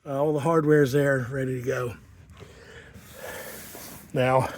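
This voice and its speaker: Everyday man, mid pitch, sniffly cold, rambling DIY vlog voice, unscripted. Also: level tone, takes it slowly, slight background noise. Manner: rambling, unpolished, with many fillers and restarts. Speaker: home mechanic. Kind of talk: casual garage how-to. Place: outdoors, cold.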